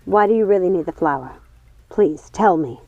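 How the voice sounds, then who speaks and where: A woman's voice: fairly low pitch, animated, normal speed, warm tone, dry recording. A woman voicing a wounded, bitter witch in a storybook, accusing and aggrieved. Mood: hurt. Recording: dull lo-fi sound, music underneath.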